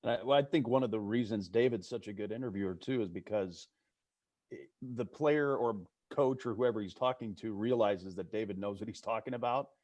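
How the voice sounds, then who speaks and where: A man's voice: on the low side, brisk, a little mellow, dry recording. A man who works as a sports broadcaster, talking casually as a guest on a video call. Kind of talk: casual panel commentary. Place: virtual meeting on video call.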